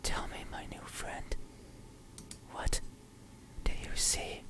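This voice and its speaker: A performer voicing a creepy, obsessive supernatural entity in a slow, deliberate, breathy whisper for a horror ASMR game. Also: close to an everyday voice. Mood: menacing.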